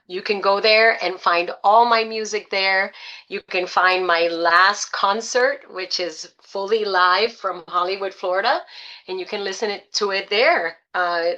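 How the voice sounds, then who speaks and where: A woman's voice: mid-range voice, pushed and bright, dull audio. A middle-aged woman from Puerto Rico, a salsa singer chatting as an interview guest, upbeat and full of uh and um fillers. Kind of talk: casual interview chat.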